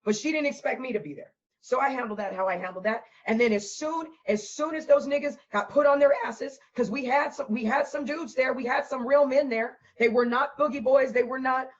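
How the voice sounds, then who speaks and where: A hoarse woman who has lost her voice, hosting a vlog and ranting bluntly with a lot of profanity. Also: high-pitched, a little fast, few pauses, muffled recording. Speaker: host.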